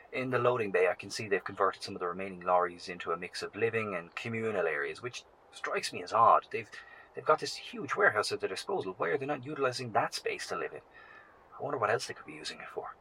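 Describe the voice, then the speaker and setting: An actor playing a weary, anxious professor in a horror audio drama, speaking gravely and hesitantly in a recorded broadcast to survivors. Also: male voice, lowish voice, lively intonation, brisk, pushed and bright, a little hiss or hum, poor audio. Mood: wary, hushed.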